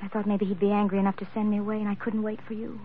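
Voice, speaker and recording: A woman's voice: mid-range voice, flat delivery, racing, narrow, tinny audio, natural voice. An actress playing a devoted, anxious young woman in love, pleading and hesitant, in 1940s radio drama style. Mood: confiding. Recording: thin, faintly noisy vintage radio recording.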